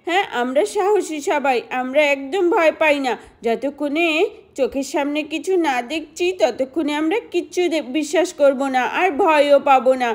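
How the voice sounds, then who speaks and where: A woman's voice: high-pitched, normal speed, natural voice. A woman voicing an easily scared village child who boasts of being brave, with childish, exclamatory, stammering bluster in a doll story. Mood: boastful.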